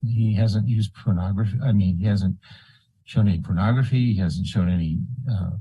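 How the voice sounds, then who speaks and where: A man with a husky voice, a board member formally raising an objection in a meeting. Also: lowish voice, moderate pace, warm tone, lo-fi sound.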